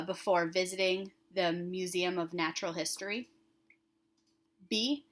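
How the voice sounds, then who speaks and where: A woman's voice: fairly low pitch, a bit bright. A woman speaking as an elementary school teacher, reading comprehension questions aloud and addressing children directly with instructive emphasis on key words.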